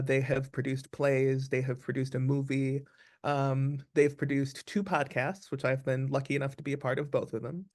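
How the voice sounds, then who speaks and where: A man speaking as an actor being interviewed, explaining things casually in a thoughtful, deliberate way. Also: mid-range voice.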